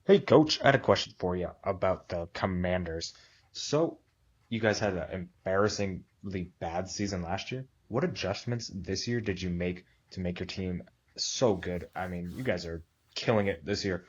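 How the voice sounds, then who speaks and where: A man's voice: deep voice, moderate pace, slightly rough. A man asking questions as a reporter at a press conference, on dull lo-fi audio.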